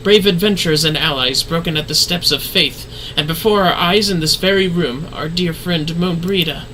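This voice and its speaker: A man's voice: fairly high pitch, moderate pace, bright, forceful tone, a little hiss or hum, poor audio. A man voicing a refined, earnest woman leader in a fantasy game, speaking in formal, solemn, archaic phrasing. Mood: solemn, stirring.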